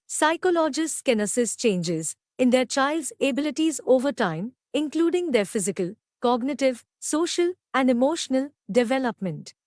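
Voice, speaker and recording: A woman reading scripted academic passages aloud in a measured, careful way, as a model for exam practice. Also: high voice, sing-song, a little mellow, no echo, studio quality.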